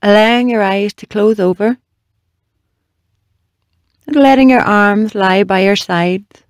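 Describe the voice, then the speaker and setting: A meditation guide leading a body scan in a slow, measured, gently instructing way with long pauses. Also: mid-range voice, smooth, no echo, clean studio sound.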